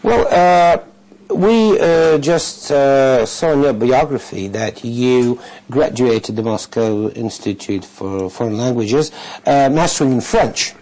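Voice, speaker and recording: A man's voice: mid-range voice, slow pace, darkish tone, low-quality recording. A man interviewing a guest in a conversational, inquisitive way. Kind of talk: broadcast interview.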